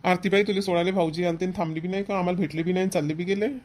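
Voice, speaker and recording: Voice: mid-range voice, steady tone, racing, a little mellow, close to an everyday voice. An actor playing an insistent, homely village brother in a family cartoon, with a rural Varhadi Marathi accent. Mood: concerned. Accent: Maharashtrian, rural Varhadi Marathi. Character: bossy but caring village brother and husband.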